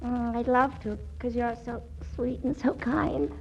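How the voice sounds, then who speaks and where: A woman's voice: a little high, fairly even intonation, unhurried, a little mellow, dull audio. An actress playing a grown woman posing as a twelve-year-old girl with cutesy baby talk, in 1940s radio comedy style. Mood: sweet, coy. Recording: noisy 1940s radio recording.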